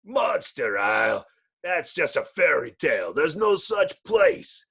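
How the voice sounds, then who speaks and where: A man voicing a gruff, surly monster hero with grumbling, slangy tough-guy talk in a comic book story. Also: a little high, huge pitch swings, slow pace, fairly bright tone, heavily acted. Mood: gruff, defiant.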